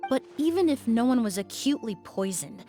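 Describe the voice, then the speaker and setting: A woman's voice: a little high, expressive, mellow, faint music behind, natural voice. An actress playing a composed, incisive woman investigator in video game dialogue, speaking formally and precisely. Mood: stern, analytical. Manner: measured, formal, accusatory.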